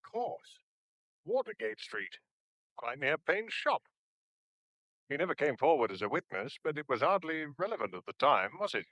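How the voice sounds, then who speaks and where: An actor playing a terse, sceptical English police officer in a radio drama, with a brisk manner. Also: male voice, on the high side, big pitch swings, fairly bright tone, no echo, dull audio. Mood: brusque, sceptical.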